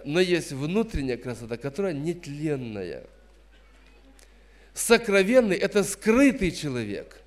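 A man in his fifties preaching a sermon in an emphatic, admonishing way, repeating phrases for stress. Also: high voice. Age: 50s. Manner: admonishing, didactic.

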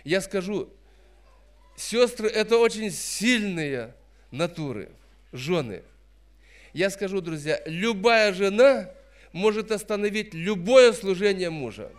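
A man's voice: high-pitched, expressive, slow pace. A man in his fifties preaching a sermon in an emphatic, admonishing way, repeating phrases for stress. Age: 50s. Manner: admonishing, didactic.

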